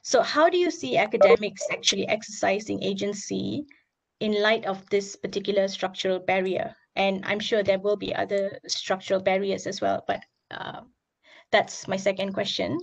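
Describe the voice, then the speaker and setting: A woman with a hoarse, gravelly voice asks long, carefully built questions at an online seminar. She pauses often as she thinks through each point. The sound comes over a video call. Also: mid-range voice, fairly even intonation. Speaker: audience member asking questions.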